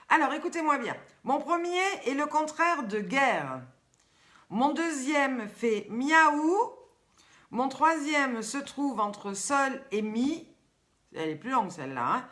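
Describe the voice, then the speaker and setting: An older woman with a Southern French accent, hosting a chatty live stream, asking riddles and teasing viewers by name. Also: a little high. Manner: lively, teasing, chatty, reads viewers' comments aloud. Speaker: host.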